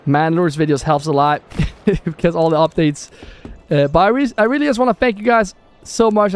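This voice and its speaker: A man's voice: on the high side, clear, resonant tone. A young man from southern Sweden with a Swedish accent, chatting casually with viewers while streaming a strategy game. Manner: chatty, thanks viewers and reads chat aloud. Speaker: gaming livestreamer. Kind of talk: casual live-stream chat over gameplay.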